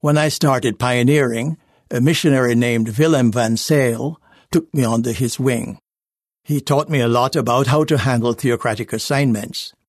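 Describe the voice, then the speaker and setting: A man reading a first-person life story aloud in a measured, earnest scripted narration. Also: mid-range voice, no room sound, clean studio sound. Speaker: reader of a magazine life story.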